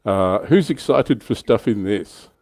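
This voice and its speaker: A man with a very low, dark-toned voice hosts a casual livestream. His delivery is chatty and informal. The sound is dry, with hardly any room on it. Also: animated, a little slow, no echo. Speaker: livestream host.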